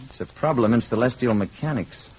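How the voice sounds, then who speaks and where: An actor playing a calm, learned stranger from space posing as an ordinary man, speaking politely and with quiet assurance in 1950s radio drama style. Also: mid-range voice, brisk, slightly rough, close to an everyday voice. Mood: quietly confident. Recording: dull, noisy 1950s radio recording.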